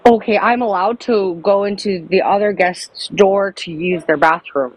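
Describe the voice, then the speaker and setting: A woman making a prank phone call, speaking haltingly and apologizing often. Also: medium pitch. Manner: halting, overly polite and apologetic. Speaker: prank caller.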